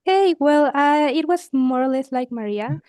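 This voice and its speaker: A woman's voice: sits high, animated, unhurried, darkish tone, dry recording. Young Mexican woman, dry close sound. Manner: chatty, with hesitations. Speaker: student. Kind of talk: exam conversation.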